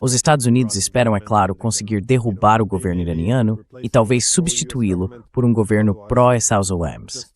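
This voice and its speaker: Brazilian male interviewer for a dubbed discussion, voice a little low. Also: brisk.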